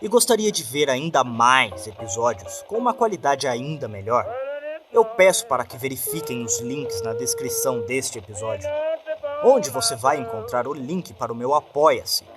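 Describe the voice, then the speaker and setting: A man's voice: mid-range voice, wildly swooping pitch, moderate pace. A man with a Brazilian accent giving a scripted appeal to listeners as a podcast narrator and host. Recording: clear music bed behind voice.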